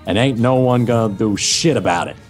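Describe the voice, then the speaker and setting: A man's voice: lowish voice, fairly quick, faint music behind. A man with an American accent, a guest voice actor and story narrator, chatting casually in a group video call with lots of fillers and restarts. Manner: rambling, with many fillers and restarts.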